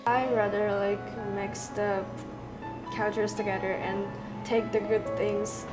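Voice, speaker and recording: A woman's voice: mid-range voice, little pitch movement, takes it slowly. A young woman answering interview questions casually as a daughter. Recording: loud music underneath.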